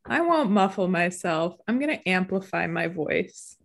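A woman co-hosting a casual podcast chat, playful and teasing, quick to laugh. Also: fairly low pitch, lively intonation, creaky. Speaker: podcast co-host.

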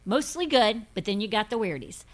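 A woman's voice: medium pitch, sing-song, brisk. Forthright woman in her fifties. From grew up in Pentecostal churches. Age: 50s. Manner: forthright, preachy, emphatic. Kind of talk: casual podcast chat on faith.

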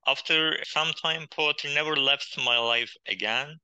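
A man from Turkey's far east, with as his in English, answering interview questions in a halting way with many pauses and fillers. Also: on the high side, sharp tone, clean tone, no echo. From Hakkari region of Turkey, Kurdish. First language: Kurdish. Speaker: guest, poet and journalist. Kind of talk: interview conversation.

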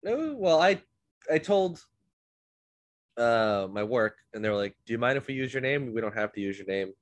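A man's voice: medium pitch, sing-song, moderate pace. A man hosting a podcast, a bit congested from illness, telling rambling self-deprecating stories in a casual chat. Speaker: podcast host.

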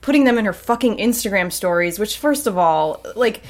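A woman's voice: mid-range voice, lively intonation, fairly quick. A woman in her thirties from Long Island, co-hosting a casual podcast chat, blunt and sarcastic with free swearing. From Long Island, New York. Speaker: podcast co-host.

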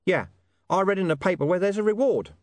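Man putting on a high, shifty talking-dog informant. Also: a little fast, no room sound, studio quality, soft background music, lightly acted. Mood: sly, conspiratorial. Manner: streetwise, evasive, menacing slang. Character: shady, wheedling talking dog posing as mysterious informant.

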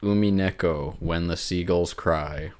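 A man with a low-pitched voice talks as a podcast co-host. His delivery is informal and bantering, the sound of casual chat about games. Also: unhurried.

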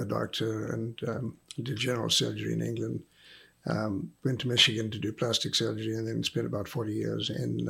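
An older man with a South African accent, a guest telling stories in an unhurried, dry, self-mocking way. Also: mid-range voice, vocal fry, a bit bright, husky. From Zimbabwe and South Africa. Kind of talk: casual interview.

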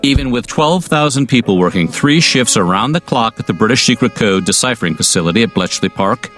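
A man of average pitch narrates a history video from a script. His wording is casual and wry, and faint music plays underneath. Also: expressive, brisk, a bit bright.

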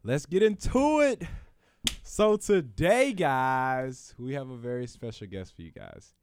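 A man's voice: medium pitch, sing-song, a little slow, a little mellow, a little hiss or hum. A man in his twenties hosting a casual podcast, asking questions in loose, informal phrasing. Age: 20s. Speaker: podcast host.

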